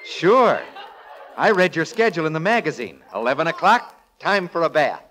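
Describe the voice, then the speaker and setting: An actor playing a polite, earnest young sailor with an American accent and folksy manner, in 1940s radio comedy. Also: male voice, on the high side, expressive, moderate pace, a bit bright. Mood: enthusiastic. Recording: slightly noisy old radio recording.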